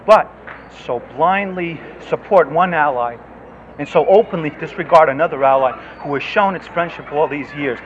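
A man's voice: fairly high pitch, moderate pace. A man born in Greece asking a politician an impassioned question, earnest and frustrated, often restarting his words. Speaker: audience member asking a question. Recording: lo-fi outdoor recording with street noise.

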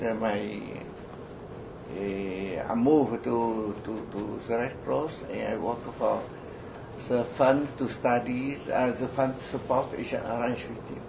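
An elderly Vietnamese-accented man, French his first language, talks slowly and haltingly with a gravelly edge. His pauses are filled with "uh" and repeated starts. The recording is dull and lo-fi, with a steady hiss of noise underneath. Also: mid-range voice. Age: older. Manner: slow, halting, many restarts and fillers. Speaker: interviewee, retired army surgeon. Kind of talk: oral history interview. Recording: lo-fi noisy recording.

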